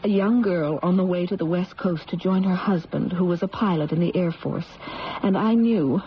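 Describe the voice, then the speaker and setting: Actress as an earnest, low-voiced troubled young woman. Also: normal speed, natural voice. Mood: haunted. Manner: earnest, intense, dramatic. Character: earnest, troubled adopted young woman seeking her origins. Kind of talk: 1970s radio drama acting. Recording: muffled, noisy old radio broadcast.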